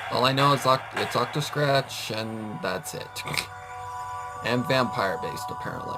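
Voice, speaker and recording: A man's voice: mid-range voice, low-quality recording. A man hosting a reaction video, chatting casually off the cuff in a hesitant, rambling way as he thinks aloud.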